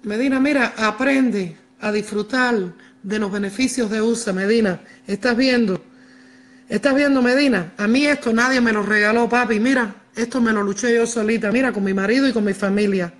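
A woman's voice: fairly high pitch, normal speed. A middle-aged woman with a Cuban accent chatting casually on a livestream in an emphatic, repetitive way, addressing viewers directly. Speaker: livestream host. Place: large living room at home. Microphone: wired earphone mic.

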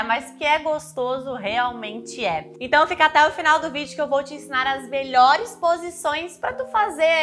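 A woman with a Brazilian accent speaks in a high, bright voice. She coaches the viewer informally and directly, as in an online advice video. Soft music plays behind her. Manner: direct, chatty, addresses the viewer. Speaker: video host, sex and relationship coach. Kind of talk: informal instructional talk to camera. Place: small indoor studio with shelves. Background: background music.

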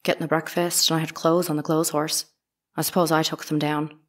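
A woman's voice: deep voice, flat delivery, brisk. A woman playing a reserved, plain-spoken housemaid giving terse testimony in a dramatic courtroom reading. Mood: reserved, matter-of-fact. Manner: terse, matter-of-fact answers.

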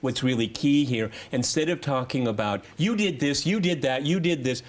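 A man in his fifties moderating a political panel, halting and repeating words for emphasis. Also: medium pitch. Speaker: talk show host and moderator. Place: television studio round table.

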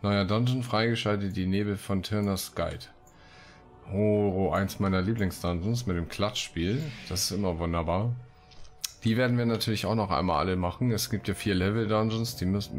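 A man with a very low voice hosts a Let's Play. He talks casually and jokingly, half to himself, and reads quest text aloud. Faint game music runs behind him. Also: fairly even intonation, normal speed. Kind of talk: casual gaming commentary with read-out quests.

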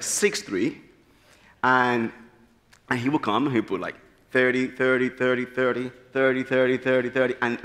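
A man in his thirties from the Republic, preaching an earnest, animated sermon at a church pulpit. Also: medium pitch. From Dominican Republic. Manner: animated, gesturing freely, earnest storytelling. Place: large church sanctuary, at a pulpit. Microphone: pulpit mic. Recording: long church hall reverb.

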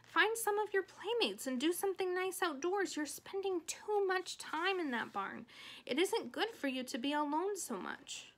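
A woman's voice: extremely high pitch, lively intonation, normal speed, a bit bright, voice pushed up, full character voice. A woman voicing a stern, worried mother with a firm, exasperated way of talking. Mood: pleading.

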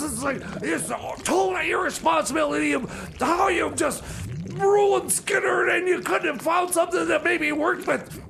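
A man's voice: extremely high pitch, gravelly, a bit bright, a little hiss or hum, exaggerated voice. A man playing a flustered, indignant radio DJ in a comedy radio play, stammering and repeating his words. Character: flustered, indignant radio DJ berating his time machine mechanic.

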